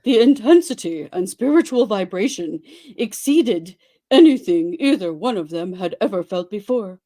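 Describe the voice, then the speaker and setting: A woman giving a theatrical dramatic reading of a story, with exaggerated delivery and dramatic pauses. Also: mid-range voice, animated, mellow. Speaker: dramatic reader.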